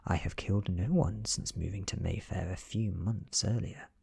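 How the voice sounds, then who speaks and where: A British man reading a story in a soft-spoken, hushed and breathy ASMR style, measured and deliberate. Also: deep voice, steady tone. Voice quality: breathy, whispery. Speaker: ASMR narrator reading an audiobook.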